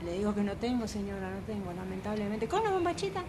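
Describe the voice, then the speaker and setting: A woman's voice: medium pitch, big pitch swings, fast, natural voice. A woman with an Argentine accent playing a pushy underwear street vendor in an amateur radio play, repeating herself in a hawker's patter. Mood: coaxing, apologetic. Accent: Argentine Rioplatense. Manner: repetitive hawking, diminutives. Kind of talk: amateur radio theatre acting. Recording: clear background ambience noise.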